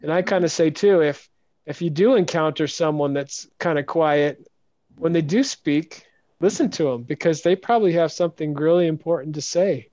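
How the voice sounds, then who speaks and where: A man hosts a podcast interview in a soft, hesitant manner and often restarts his sentences. His voice sits a bit high. The audio is dry and dull, as if muffled. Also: normal speed, no echo. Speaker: podcast host. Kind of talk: casual interview chat.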